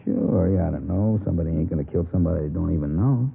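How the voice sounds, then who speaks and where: A jittery, streetwise bar owner with a low, gravelly American voice. He talks in defensive, emphatic slang, in old radio drama style on a tinny recording. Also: fast talker, slight background noise. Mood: incredulous. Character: nervous, streetwise bar owner insisting he's clean. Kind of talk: 1950s radio drama acting.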